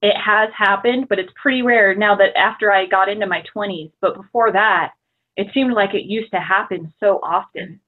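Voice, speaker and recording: A woman's voice: medium pitch, normal speed. A woman in her twenties, a guest, chatting casually and thoughtfully over a call. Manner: thoughtful, hesitant, laughs easily. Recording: tinny call audio.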